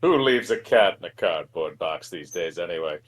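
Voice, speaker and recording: A man's voice: low-pitched, lively intonation, moderate pace. A man in casual game banter, reading a character's dialogue aloud in a wry, sweary way. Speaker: co-host voicing the player character.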